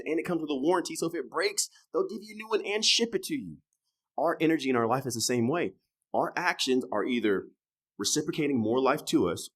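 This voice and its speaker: A man in his thirties, a podcast guest in casual conversation, talking loosely and with swearing, jumping from loud emphatic bursts to quiet trailing asides. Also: medium pitch. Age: 30s.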